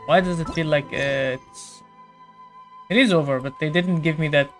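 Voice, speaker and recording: A woman's voice: deep voice, moderate pace. A woman giving casual commentary as she plays through a video game. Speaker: gaming streamer. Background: game music.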